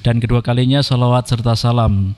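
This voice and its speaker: A man talks politely and at an even pace as a podcast guest. His voice sits in a middle pitch and carries a long, echoing reverb from the room. He fills his pauses with "eh" and "ya.". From Indonesia. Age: 30s. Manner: measured and polite, frequent "eh" and "ya" fillers. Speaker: podcast guest, Quran reciter. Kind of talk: casual podcast conversation.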